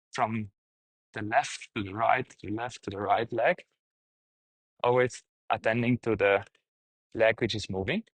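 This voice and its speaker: A man guiding a meditation, speaking gently in short, halting phrases with pauses. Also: fairly low pitch, dry recording, rough recording. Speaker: meditation teacher, guide. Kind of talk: guided meditation, unscripted instruction.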